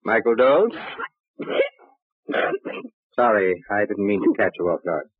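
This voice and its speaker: A sharp, wry police inspector in a vintage radio crime play. His voice swings expressively in pitch while he probes with clipped, dry questions. The sound is narrow and phone-like, with no room around it. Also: lively intonation, takes it slowly, slightly rough, no room sound, full character voice. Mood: dry, probing. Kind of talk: old-time radio crime drama acting.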